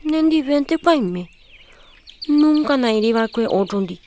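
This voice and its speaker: An actress playing a worried young village woman in a radio drama, speaking in a soft, pleading way. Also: high-pitched, expressive, slow pace, a little hiss or hum.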